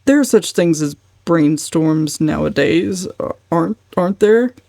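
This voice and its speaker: A nervous older man from a storybook drama, voiced by a woman. He is kindly but halting, stumbling over his words. The sound is very dry. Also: on the low side, expressive, creaky, dark tone, no room sound. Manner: halting, stammering. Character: kindly, nervous, hesitant grey-haired man.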